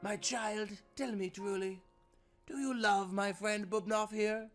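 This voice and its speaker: A man's voice: high voice, fairly even intonation, slow, faint music behind, voice pushed up. A man voicing a courteous, theatrical devil in a storybook tale, formal and politely menacing. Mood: formal, courtly. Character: suave, mock-courteous devil, doting grandfatherly fiend.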